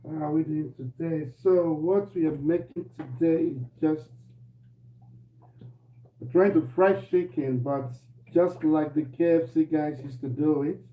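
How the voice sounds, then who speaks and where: Casual male cooking host, slightly hoarse, somewhat high voice, echoey kitchen stream. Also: a little slow, recorded from a distance. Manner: relaxed, repeats himself, greets viewers by name. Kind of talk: live-stream cooking demo, chatting to viewers. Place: home kitchen. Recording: echoey room, voice off-mic, faint noise.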